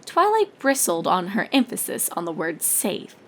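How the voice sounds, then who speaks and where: A woman's voice: lowish voice, huge pitch swings, moderate pace. A woman reading scripted story narration as the narrator of a fan fiction tale.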